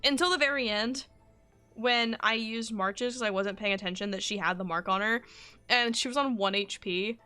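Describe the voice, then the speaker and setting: High bright girl voice, woman streamer chatting casually, dramatic and playful, game music behind. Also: normal speed, clean tone. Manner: dramatic, playful pleading and exclaiming. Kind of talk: casual live stream reaction chat.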